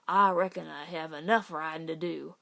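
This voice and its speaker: Woman acting a loud, indignant old cowboy. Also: low voice, fairly even intonation, moderate pace, creaky, voice pushed down, full character voice. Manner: loud, blustering, folksy Western speech. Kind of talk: audiobook character voice.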